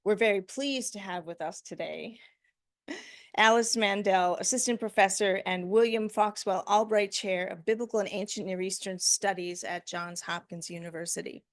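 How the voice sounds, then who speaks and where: A woman standing in a lecture room, reading a formal speaker introduction in a measured way. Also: mid-range voice, fairly even intonation, dry recording, studio quality. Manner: measured, reads from notes. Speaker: faculty member introducing the speaker.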